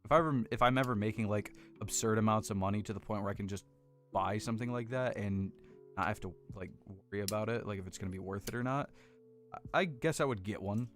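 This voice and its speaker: An American man with a deep voice chatting casually and rambling a little as he hosts a livestream on a close desk microphone. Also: quick pace, faint music behind. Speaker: livestream host building model kits. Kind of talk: casual chat with live viewers. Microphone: close desk condenser microphone.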